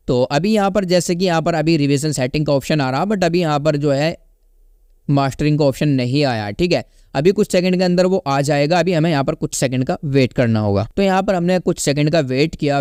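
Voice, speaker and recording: A man's voice: fairly high pitch, a little mellow, clear, resonant tone, no echo, clean studio sound. A man with an Indian accent giving a casual, instructional step-by-step app tutorial, often checking in with listeners. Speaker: tutorial host. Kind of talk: casual step-by-step app tutorial, Hindi mixed with English words.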